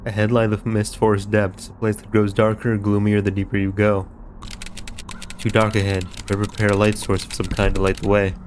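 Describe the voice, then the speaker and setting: A man's voice: on the low side, monotone, brisk, a little hiss or hum. A sniffly man streaming a game, swearing casually and reading on-screen text aloud. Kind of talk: casual gameplay commentary.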